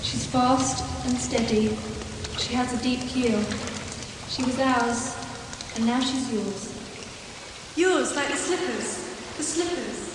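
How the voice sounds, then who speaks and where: Gentle wistful woman, high and slow, far off in heavy reverb with ambient noise. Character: wistful, gentle woman admiring a sailing boat. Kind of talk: slow radio play dialogue. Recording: distant, very echoey, with outdoor ambience noise.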